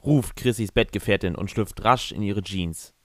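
A man's voice: on the low side, normal speed, a bit bright, a little hiss or hum. A man reading a scripted first-person narration for a fan fiction audio drama.

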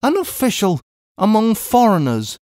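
Man voicing a high, plaintive, tearful Englishwoman. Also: wildly swooping pitch, moderate pace, darkish tone, no room sound, clean studio sound. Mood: mournful, reproachful. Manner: plaintive, lamenting, exclamatory. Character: tearful, loving middle-aged Englishwoman, dramatically wounded sister. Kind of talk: audiobook character voice.

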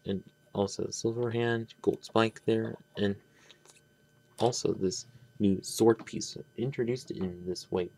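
A man with a low voice full of vocal fry talks through an unscripted hands-on toy review. His delivery is halting and rambling, with phrases that often trail off before they are finished. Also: fairly even intonation, a little mellow. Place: indoors at a tabletop.

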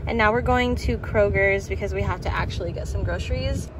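Clear background noise sits around a young American woman talking casually from inside a car. Her voice is mid-pitched, and she speaks informally to her viewers, thinking aloud as she goes. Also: a little fast. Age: young adult. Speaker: fitness and weight loss vlogger. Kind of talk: casual vlog chat to viewers. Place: parked car.

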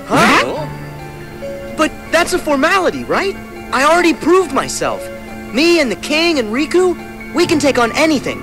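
High-pitched cocky teenage boy hero. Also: moderate pace, music in the background, exaggerated voice. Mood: cocky, protesting. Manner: brash, confident, energetic. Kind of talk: video game cutscene acting.

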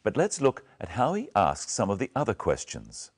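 A man's voice: on the low side, huge pitch swings, moderate pace, breathy edge. A man presenting a scripted English lesson, instructing learners in carefully enunciated phrases.

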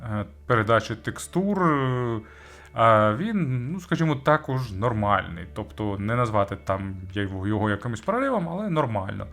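A man's voice: mid-range voice, sing-song, normal speed, a bit bright. A man giving a conversational product review as host, searching for words and adding informal asides. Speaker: audio gear reviewer and host.

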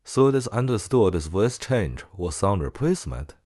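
Guy walking thru a software tutorial, lowish voice that goes up and down a lot, really dry close sound. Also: very animated, moderate pace, no room sound. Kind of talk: casual screen-recorded software tutorial.

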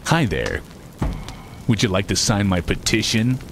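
An actor playing a cynical, sociopathic slacker antihero in a video game, with sarcastic, deadpan wisecracks in a gravelly voice. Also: male voice, a little slow, heavy background noise. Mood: impatient, sarcastic. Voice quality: gravelly, low. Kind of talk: video game one-liners.

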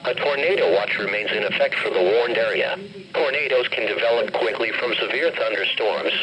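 A synthetic man's voice reading an automated emergency weather bulletin in a measured, formal manner, played through a weather radio speaker. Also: fairly low pitch, lively intonation, background noise. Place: carpeted room in a home. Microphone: camera held near the radio. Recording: radio speaker picked up by handheld camera.

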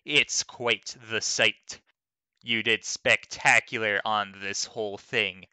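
A man giving a dramatic, deliberate reading of a written comment in a theatrical manner. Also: lowish voice, bright, forceful tone, clear, resonant tone, no echo, rough recording. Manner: theatrical, deliberate, measured delivery. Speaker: dramatic reader of an online comment.

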